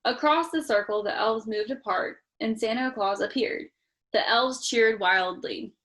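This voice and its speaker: A woman's voice: fairly high pitch, a little slow, fairly bright tone. A woman reading a children's picture book aloud expressively, with chatty asides spoken directly to young listeners. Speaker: storytime reader.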